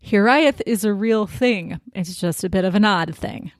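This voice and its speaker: An American woman hosting a podcast, giving a prepared literary talk in a reflective, deliberate way with emphatic phrasing. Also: mid-range voice, dry recording, studio quality. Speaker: podcast host.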